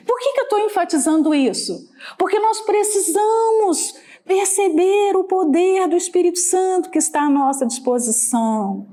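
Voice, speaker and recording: Woman preacher, mineiro Brazilian accent, very high voice, lively swooping delivery, chatty sermon. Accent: Brazilian Portuguese, Minas Gerais. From Brazil, Minas Gerais. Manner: conversational, asks the listeners for agreement. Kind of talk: sermon teaching.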